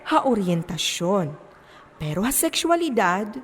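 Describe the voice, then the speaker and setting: An actress plays an instructive stage character who lectures slowly and deliberately. The delivery is declamatory, full of questions, and rings through a reverberant hall. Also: female voice, mid-range voice, very animated, some chatter behind. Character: lecturing personified character explaining gender and sexuality. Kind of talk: stage play monologue, explanatory.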